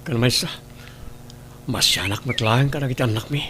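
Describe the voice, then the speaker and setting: A man's voice: medium pitch, fairly quick, a bit bright, slight background noise, natural voice. A man with an Ilocano accent playing an evasive, defensive husband in an audio drama. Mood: low, troubled. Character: evasive, defensive husband and father hiding an affair.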